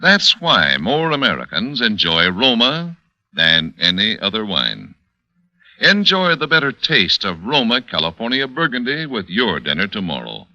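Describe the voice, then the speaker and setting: Slow, forceful 1940s radio pitchman. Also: no room sound. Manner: polished, emphatic salesmanship. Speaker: radio commercial announcer. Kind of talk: 1940s radio advertising read. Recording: dull vintage 1940s radio recording.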